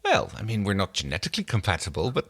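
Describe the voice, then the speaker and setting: A man co-hosting a casual recap chat about television, explaining things in a halting way. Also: on the low side, huge pitch swings, a bit bright. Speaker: podcast co-host.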